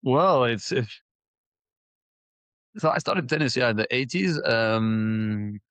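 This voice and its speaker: A man in his forties from Ethiopia and Mauritius reminiscing in a casual interview, haltingly, repeating words as he thinks. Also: mid-range voice, animated, fairly bright tone, no echo. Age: 40s. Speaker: interview guest, former professional tennis player.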